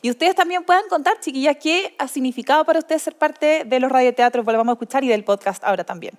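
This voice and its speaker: A Chilean woman with a clearly high-pitched voice. She speaks as the host of a live literary talk, addressing guests and listeners. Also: fast talker. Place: live event with an audience. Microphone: event microphone.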